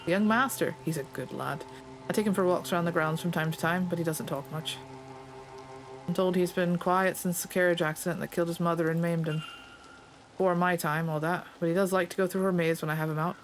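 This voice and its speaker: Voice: medium pitch, steady tone, a little fast, soft background music, close to an everyday voice. A player reading aloud the lines of a plainspoken Scottish stable master in a game, in a folksy, understated way. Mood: guarded.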